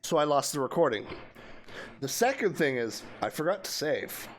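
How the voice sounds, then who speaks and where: A man's voice: fairly high pitch, sing-song, moderate pace. A man hosting a casual video game playthrough, commenting as he plays with friends. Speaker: host playing the game.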